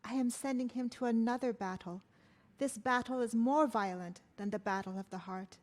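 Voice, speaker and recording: A woman's voice: a little high, normal speed, darkish tone, close to an everyday voice. A woman reading the part of a queen torn between two loves at a table read, reciting her lines like a poem. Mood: solemn. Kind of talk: table read of a stage play.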